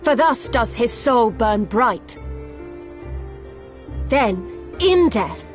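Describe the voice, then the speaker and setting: Slow, solemn proud warrior. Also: female voice, on the high side, sing-song, faint music behind, exaggerated voice. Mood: solemn reverence. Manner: solemn, authoritative, archaic formal speech. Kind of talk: dramatic game cutscene acting.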